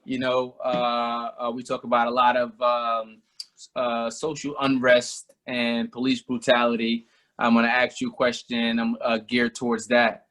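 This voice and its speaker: A man's voice: mid-range voice, completely flat delivery, measured pace, a bit bright. Casual man with many fillers. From Albany, New York. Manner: loose, lots of fillers. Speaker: interviewer. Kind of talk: casual conversation.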